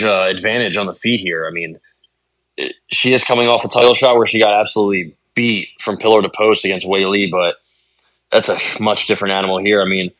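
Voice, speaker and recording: A man's voice: on the low side, a bit bright, no echo, rough recording. A man co-hosting a podcast, breaking down fighters in casual chat, with many ums and I-means. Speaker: podcast co-host. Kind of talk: casual sports analysis chat.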